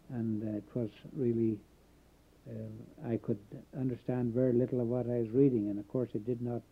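A man born in Canada recalling his Welsh community in a halting oral history interview, pausing often. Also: mid-range voice. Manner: halting, many filler pauses. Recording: tinny phone-like old tape.

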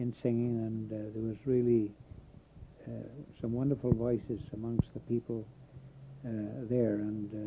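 A man born in Canada recalling his Welsh community in a halting oral history interview, pausing often. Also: mid-range voice, a little hiss or hum. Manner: halting, many filler pauses. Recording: tinny phone-like old tape.

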